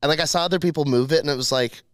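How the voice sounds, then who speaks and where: Big-voiced young comedian, emphatic. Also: male voice, fairly high pitch, very fast, no echo, studio quality. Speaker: podcast host and comedian. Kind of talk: casual podcast chat.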